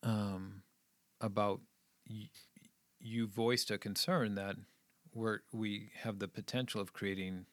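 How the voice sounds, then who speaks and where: A man's voice: lowish voice, little pitch movement, slow, a bit bright, no echo. A middle-aged man talking casually and earnestly about his schooling and family, often trailing off. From New England, USA. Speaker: guest. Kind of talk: casual conversation.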